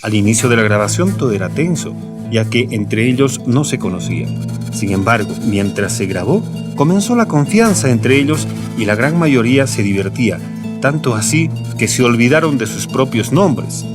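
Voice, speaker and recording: A man's voice: medium pitch, sing-song, moderate pace. A man reading scripted documentary narration. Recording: music playing behind the voice. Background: guitar music.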